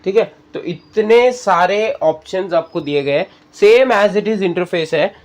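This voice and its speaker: Higher-pitched Indian man, tutorial host. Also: slow pace. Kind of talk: casual step-by-step app tutorial.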